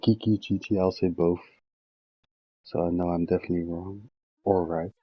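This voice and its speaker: A man's voice: deep voice, level tone, moderate pace, husky, lo-fi sound. A man giving casual, informal gameplay commentary as a streamer, thinking aloud as he plays. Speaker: video game streamer. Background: game music.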